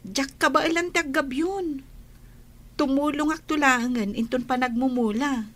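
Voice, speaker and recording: A woman's voice: high-pitched, normal speed, fairly bright tone. A woman acting the part of a cheerful, sociable young single woman in an Ilocano radio drama. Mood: teasing.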